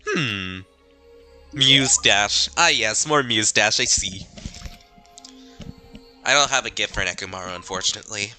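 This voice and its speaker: A man live-streaming a game with goofy, excitable commentary, reading the text aloud with a slightly stuffy nose. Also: on the low side, unhurried, long pauses, faint music behind.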